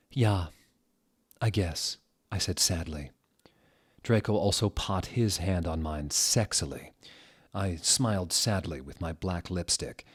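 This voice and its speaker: Guy reading bad fanfic all theatrical and serious, slow, deepish voice. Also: husky. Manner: deliberate, theatrical, reads typos and punctuation out literally. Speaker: dramatic reader of fan fiction. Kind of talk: mock-serious dramatic reading aloud.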